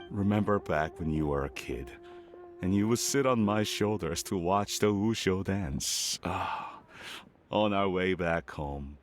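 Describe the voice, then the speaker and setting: A man voicing a gentle, nostalgic father in a video game, speaking tenderly. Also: sits low. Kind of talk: video game character dialogue.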